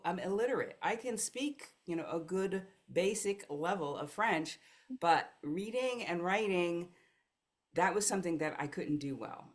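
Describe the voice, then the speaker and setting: An American woman, a life coach, telling her story as a podcast guest in an earnest, reflective way. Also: sits low. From United States. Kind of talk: podcast conversation.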